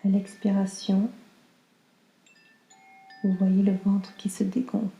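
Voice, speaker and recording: A woman leads a guided meditation in a very mellow, hushed and breathy voice. Her delivery is calm and slow, with little melodic movement. The sound is roomy and echoing, and she soothes as she instructs. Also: medium pitch, highs cut off, soft background music. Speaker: yoga nidra guide.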